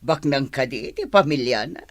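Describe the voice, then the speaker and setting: A woman's voice: very deep voice, expressive, moderate pace. A woman with an Ilocano accent playing a gossipy, cautioning middle-aged mother in an audio drama. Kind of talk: Filipino audio drama acting.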